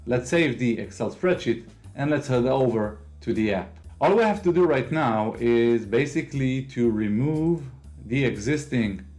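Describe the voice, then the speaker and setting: Israeli man walking through software, faint music. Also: mid-range voice. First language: Hebrew. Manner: explains step by step, non-native phrasing, occasional fillers. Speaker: host of a software tutorial channel. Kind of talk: screen-recorded software walkthrough.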